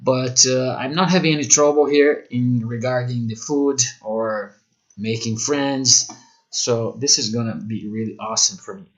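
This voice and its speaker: Guy giving an online lesson, lots of uhs and restarts, mid-pitched voice. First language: Portuguese. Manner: halting non-native English with many fillers. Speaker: English teacher. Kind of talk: online course talk.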